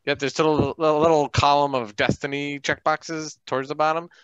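A man's voice: fairly high pitch, flat delivery, a little fast, a crowd in the background. A man casually explaining rules and dice during a tabletop game on a group video call. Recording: dull band-limited online call.

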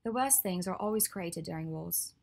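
A woman reading the part of an earnest, clever young witch, her voice a little raised and husky. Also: fairly low pitch, animated, moderate pace, darkish tone. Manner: earnest, then sulky and teasing.

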